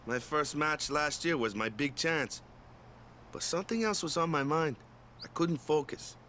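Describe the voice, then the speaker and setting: A man's voice: a little high, unhurried, sharp tone, slight background noise. A man voicing an easygoing, humble young island athlete in a video game, with a laid-back, informal drawl. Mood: wistful. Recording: game audio with music over it.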